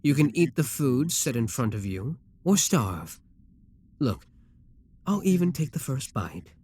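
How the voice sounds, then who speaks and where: A man voicing a possessive, scheming demon king who talks to the listener in a calm, coaxing, self-assured way. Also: medium pitch, measured pace, breathy edge. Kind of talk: audio roleplay addressed to the listener.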